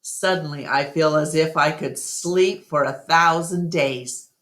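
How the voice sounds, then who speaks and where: A woman narrating a children's book aloud as an expressive storytime reader. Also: sits low, unhurried.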